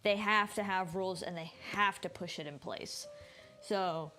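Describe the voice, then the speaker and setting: A woman's voice: mid-range voice, fairly even intonation, a little slow, bright, forceful tone, faint music behind. A woman streaming a game, giving casual live commentary and thinking aloud in a chatty way.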